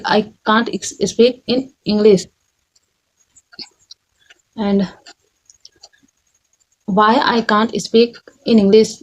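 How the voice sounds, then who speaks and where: A young woman from Chhattisgarh with an Indian accent, whose first language is Chhattisgarhi, talking in halting learner's English at home, often correcting herself and adding "right?". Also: mid-range voice, a little mellow, rough recording. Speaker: English learner vlogger and tutor. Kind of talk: unscripted personal talk. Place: home in a village.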